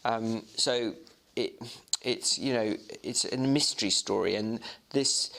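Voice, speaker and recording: A man's voice: lowish voice, takes it slowly, creaky, a bit bright. A man narrating a classic novel and explaining it informally, like a literature guide. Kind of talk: storybook narration and informal commentary.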